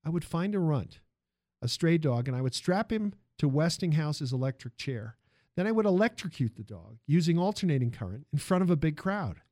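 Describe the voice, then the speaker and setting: A man's voice: fairly high pitch, sing-song, moderate pace, a little mellow, no room sound, clean studio sound. A man voicing a polite, ambitious young inventor in a narrated story, speaking earnestly and with restraint. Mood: earnest, matter-of-fact. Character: deferential but ambitious young male inventor seeking work.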